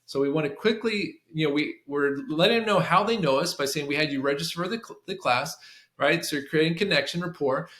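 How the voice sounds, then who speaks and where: A man with a slightly high voice walks listeners through a procedure like a trainer. He restarts and repeats phrases, checking in as he goes. The room adds a strong echo to his voice. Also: a little fast, fairly bright tone. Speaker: sales call trainer for a clinic. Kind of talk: instructional screen-share walkthrough with demonstrated scripts. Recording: roomy, echoing room sound.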